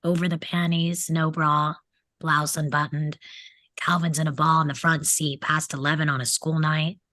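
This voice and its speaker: A woman's voice: low-pitched, flat delivery, normal speed, sharp tone, dry recording. A woman reading the part of a mocking, crude teenage delinquent boy at a table read of a film script. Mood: taunting. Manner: mocking, needling, insolent.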